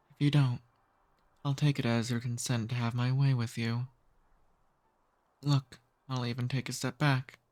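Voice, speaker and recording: Casting: male actor for an ancient noble vampire, mid-pitched male voice, charming and softly dominant, intimate ASMR roleplay. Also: lightly acted. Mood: commanding, seductive. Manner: courtly, slow seductive teasing.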